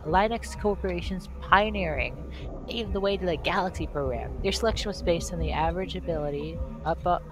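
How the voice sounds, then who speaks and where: A woman streaming a video game, chatting casually and reading on-screen text aloud haltingly while she loses her voice. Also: lowish voice, poor audio. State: losing her voice.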